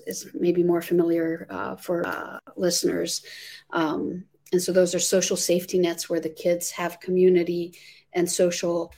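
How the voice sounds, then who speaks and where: A woman's voice: lowish voice, vocal fry, a little mellow. A woman from Jordan, in her forties, guest on a remote interview, earnestly explaining her work with plenty of fillers. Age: 40s. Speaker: guest, nonprofit founder. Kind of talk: casual interview chat.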